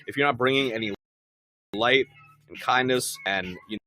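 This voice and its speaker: A man's voice: medium pitch, normal speed, bright, forceful tone, dry recording. A man of forty chatting casually on a podcast as co-host, emphatic and repetitive, with frequent swearing. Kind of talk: casual conversational podcast chat.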